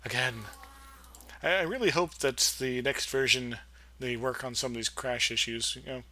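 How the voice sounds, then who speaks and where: A young man talks through his game session in a loose, rambling way, joking around and fumbling for words as he goes. His voice is bright and forward at an ordinary pitch. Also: normal speed. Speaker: let's play host. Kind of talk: casual gameplay commentary.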